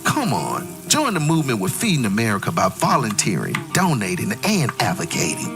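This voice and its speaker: A man's voice: on the low side, huge pitch swings, brisk, music in the background. A man giving an earnest, scripted public service message as a spokesman. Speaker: TV host and chef, spokesman.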